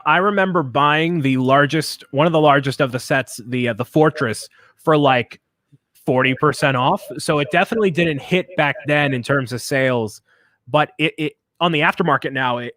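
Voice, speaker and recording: A man's voice: mid-range voice, a bit bright, dry recording, studio quality. A man co-hosting a casual chat, enthusiastic and opinionated, often repeating words as he talks. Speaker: co-host. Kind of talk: casual chat while building.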